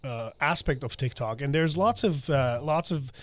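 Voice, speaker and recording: Man, Slovak accent, podcast host, casual, quick bursts, lots of laughs, dry close sound. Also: mid-range voice, no echo. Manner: laughs often, talks in quick bursts with fillers.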